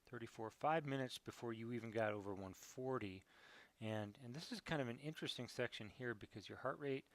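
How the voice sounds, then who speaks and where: Male American coach, informal and encouraging, mid-range voice, thinks out loud while giving feedback. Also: medium pitch, no echo. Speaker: cycling coach. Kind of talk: casual spoken review of training data.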